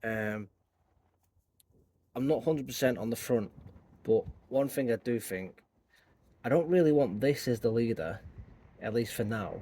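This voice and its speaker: Croaky-voiced man working on bonsai. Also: medium pitch, a little slow, long pauses, warm tone. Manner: thinking aloud as he works. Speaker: bonsai channel host. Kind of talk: casual hands-on tutorial talk.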